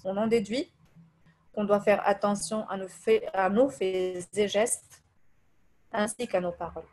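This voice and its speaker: A woman from Tunisia whose first language is Arabic, giving a religious lesson in French, hesitating often with fillers, reading translations and reciting verses quickly. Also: medium pitch, steady tone, a little mellow. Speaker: religious teacher. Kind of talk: religious lesson over voice messages.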